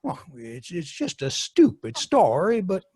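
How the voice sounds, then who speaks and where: A man playing an excitable, grumbling farm husband in a radio play, with a folksy, stammering delivery. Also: high voice, unhurried, husky, no room sound, full character voice. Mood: grumbling, uneasy. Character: excitable, grumbling farmhand husband Herman, rural and superstitious. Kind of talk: old-time radio play acting. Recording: dry live video-call recording.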